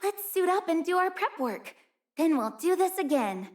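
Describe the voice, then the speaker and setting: A woman voicing an earnest, gentle young female snow-rescue worker in a video game, speaking in a caring, polite manner. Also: very high-pitched, big pitch swings, normal speed. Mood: encouraging. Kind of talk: video game character voice lines.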